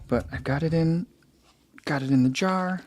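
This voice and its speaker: A man's voice: fairly high pitch, normal speed, background noise. A young man with a soothing voice hosting a casual running live stream from home, chatting and reading comments aloud into a desk microphone. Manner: relaxed, chatty, laughs easily, many fillers. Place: home room. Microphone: desk microphone by computer.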